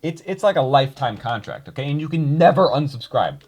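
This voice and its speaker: A man's voice: fairly high pitch, expressive, fairly quick, a little mellow. A young man chatting casually to his viewers, rambling with many fillers and restarts. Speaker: YouTuber reading comments.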